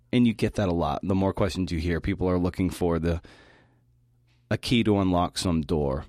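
A man's voice: sits low, a little mellow, dry recording, studio quality. A man speaking as a podcast host, giving a prepared talk with casual, folksy asides and a reflective manner.

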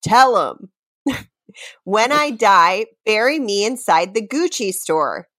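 A woman co-hosts a comedy podcast with a theatrical streak. Her intonation is very animated, yet she takes her time over silly lines and is prone to giggling. The sound is dry, with hardly any room in it. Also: medium pitch, slow, no room sound. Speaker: podcast co-host reading song lyrics. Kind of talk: mock dramatic reading and casual banter.

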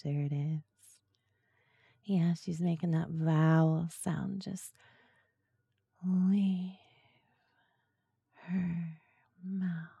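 A woman giving tips on making erotic ASMR audio in a slow, soft, sultry whisper. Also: sits low, vocal fry, breathy edge, dry recording, highs cut off. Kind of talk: whispered ASMR talk about voice technique.

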